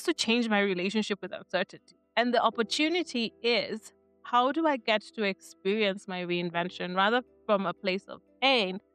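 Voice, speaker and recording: A middle-aged woman from Kenya talks earnestly to camera in a clear, resonant voice, circling back to restart her thoughts as she reflects. Her pitch sits in an ordinary middle range. A faint bed of music plays under her. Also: a bit bright. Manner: earnest, many restarts and "right?". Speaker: vlogger. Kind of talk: reflective personal talk to camera.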